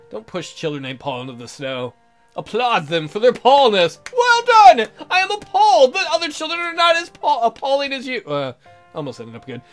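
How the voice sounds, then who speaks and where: Male let's player, high lively voice, narrating a romance game theatrically with joking asides, music behind. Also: moderate pace. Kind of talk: reading a visual novel aloud with joking asides.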